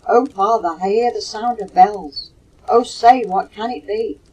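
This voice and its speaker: An older woman voicing a frightened young girl at sea in a recited ballad, pleading urgently, on a low-fidelity home cassette. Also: a little slow, warm tone, slightly rough, distant mic, rough recording.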